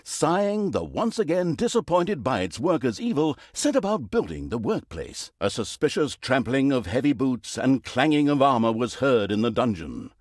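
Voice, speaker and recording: An actor playing a pompous, droll, easily exasperated storybook narrator in a video game, with theatrical delivery. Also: male voice, mid-range voice, sing-song, normal speed, hardly any pauses, darkish tone, dry recording, studio quality. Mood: dryly mocking.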